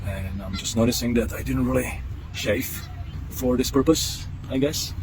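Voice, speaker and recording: A man with a heavy Czech accent filming himself outdoors on a camera mic, talking through a product test in a casual vlog, with a halting delivery full of fillers and restarts. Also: mid-range voice. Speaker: product tester. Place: outdoors on trails and beach. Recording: outdoor noise.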